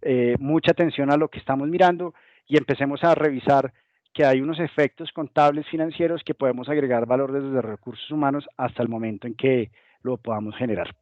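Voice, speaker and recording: A man's voice: mid-range voice, fairly even intonation, a little fast, darkish tone, no echo, dull audio. A man from Colombia with a Colombian accent, giving an explanatory business webinar presentation and now and then voicing what companies say. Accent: Colombian Spanish. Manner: explanatory, acts out hypothetical company voices. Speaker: guest presenter.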